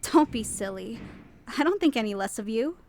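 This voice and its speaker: A woman's voice: on the high side, animated, moderate pace, a little mellow. A woman playing an anxious, earnest young female student who narrates a visual novel audio drama in a dramatic, hesitant way. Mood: soothing. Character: anxious, exasperated young female student, new club member. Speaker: voice actor narrating and playing the lead. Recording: music behind the voice.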